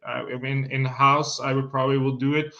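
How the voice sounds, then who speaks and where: Bright-voiced Italian-accented man, halting. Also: medium pitch, flat delivery, muffled recording. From Italy. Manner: hesitant, many restarts, laughs often. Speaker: winery sales manager guest. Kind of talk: casual interview conversation.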